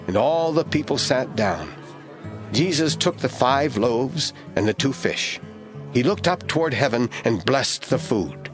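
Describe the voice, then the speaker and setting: Guy narrating a bible audio drama, expressive storyteller, music in the background. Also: medium pitch.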